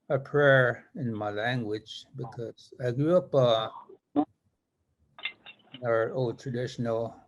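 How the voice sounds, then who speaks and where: Older man, first language Lakota, praying at a gathering, unhurried, middle-pitched. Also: poor audio. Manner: slow, measured, pausing often. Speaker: spiritual leader giving opening prayer. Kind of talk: ceremonial prayer and speech.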